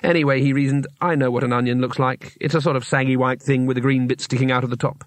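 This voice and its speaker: A man's voice: medium pitch, flat delivery, quick pace, dry recording, close to an everyday voice. A man voicing a hapless, cynical wizard in a storybook, speaking with hesitant, wary politeness and a sardonic edge. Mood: reluctant. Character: hapless, anxious, cynical male wizard adventurer.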